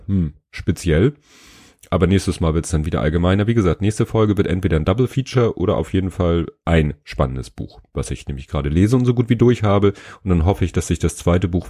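Hoarse low-voiced man with a cold. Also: warm tone, dry recording, studio quality. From Hamburg, Germany. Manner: rambling, many fillers and self-corrections. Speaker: podcast host. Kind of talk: casual solo book podcast.

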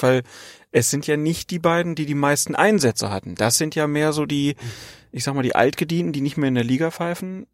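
A man's voice: medium pitch, dry recording, clean studio sound. A man, co-hosting a podcast, who asks questions and coughs now and then. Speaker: podcast co-host asking questions. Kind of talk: casual podcast chat.

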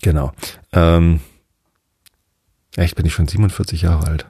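A man in his forties hosting a bedtime podcast, chatting slowly and rambling with many hesitations. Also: low voice, fairly even intonation, creaky, mellow, slightly rough, dry recording, clean studio sound. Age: 40s. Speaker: podcast host. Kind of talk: calm bedtime podcast chat.

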